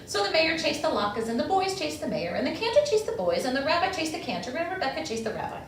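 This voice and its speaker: Woman, expressive picture-book reading, very animated pitch, strong room echo, faint noise. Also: fast. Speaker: storyteller reading to children. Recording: echoey room, distant mic, faint noise.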